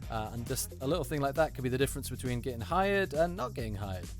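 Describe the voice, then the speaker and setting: A man's voice: medium pitch, lively intonation, fast. A man speaking as a voice actor in an unscripted, casual critique, hesitant and repeating words for emphasis, in a treated recording booth. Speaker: voice actor reviewing auditions. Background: music.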